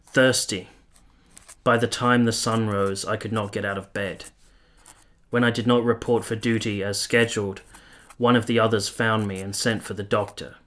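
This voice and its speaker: A man playing an earnest, gentle soldier in a period radio play, speaking in a measured, literary way. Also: lowish voice. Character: earnest, gentle young soldier narrating his own story. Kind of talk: first-person dramatic narration in a radio play.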